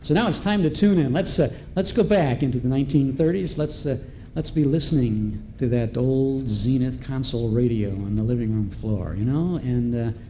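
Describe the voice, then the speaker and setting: Mellow-voiced man hosting a live event. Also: medium pitch. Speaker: event host and master of ceremonies. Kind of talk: live introductions of performers to an audience. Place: large hotel ballroom, live event. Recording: live ballroom recording with background noise.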